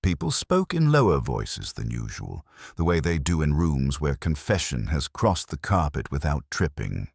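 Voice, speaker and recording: A man giving a measured, wry, literary narration of a genteel mystery story as a solo storyteller. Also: very deep voice, wildly swooping pitch, creaky, husky, no echo, clean studio sound.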